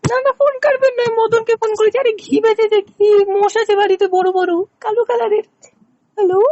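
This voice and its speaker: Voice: very high-pitched, steady tone, brisk, a little mellow, voice pushed up. A prank caller voicing a pestering, whiny village woman who pleads and rambles on a phone call recording. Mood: insistent, rambling. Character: pestering, whiny village woman hunting her runaway lover.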